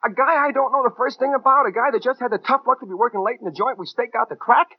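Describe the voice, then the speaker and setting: Fast-talking hard-boiled American gunman. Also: extremely high pitch, slightly rough, no echo. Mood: agitated, defensive. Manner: slangy, tough-talking, clipped. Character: edgy, hard-boiled gunman narrating and bullying his girlfriend. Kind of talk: 1940s radio crime drama acting. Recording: muffled 1940s radio recording, faint music.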